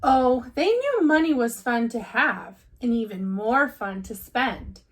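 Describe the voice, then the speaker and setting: A woman's voice: a little high, expressive, slow pace. A woman reading a children's storybook aloud as a teacher would, in narration.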